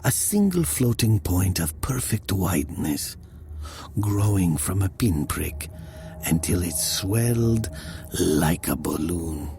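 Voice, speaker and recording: A man playing a haunted storyteller, narrating his own dark tale in the first person with measured, breathy emphasis. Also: sits low, sing-song, faint music behind, heavily acted. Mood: uneasy. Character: haunted male storyteller recalling a meeting in hell.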